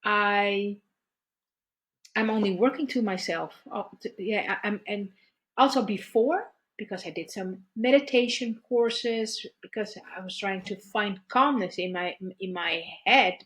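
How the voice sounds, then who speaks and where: A middle-aged woman telling her own story at an even, mid-range pitch. She speaks haltingly, circling back and repeating words as she feels her way toward what she means. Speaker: guest therapist. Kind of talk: personal story in conversation.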